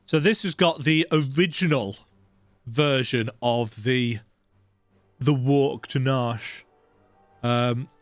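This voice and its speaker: A man's voice: fairly high pitch, no room sound. A man giving casual, conversational commentary while playing a video game.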